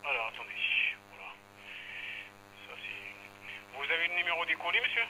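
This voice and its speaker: A man working as a customer service agent on a phone call, halting and repetitive as he explains procedure. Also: on the high side, animated, pushed and bright. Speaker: parcel company phone agent. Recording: slightly noisy telephone line.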